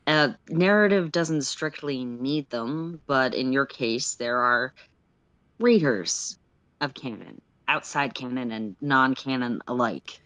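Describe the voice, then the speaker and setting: A woman's voice: rumbling low, slow pace, a bit bright, no echo, lo-fi sound, full character voice. A woman playing an exasperated, sweary sylph philosopher who lectures and argues sarcastically in an amateur story reading. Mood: measured explaining. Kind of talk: amateur audio fiction read aloud live.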